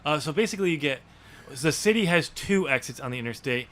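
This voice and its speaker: An American man in a casual podcast chat, talking haltingly and often restarting his sentences. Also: a little high, lively intonation, a bit bright. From New York State, US. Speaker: podcast host and author discussing his novel.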